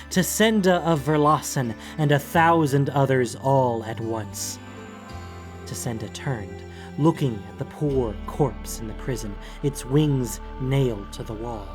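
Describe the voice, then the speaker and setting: A man narrating a fantasy audiobook in a solemn, dramatic, deliberate manner. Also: mid-range voice. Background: music.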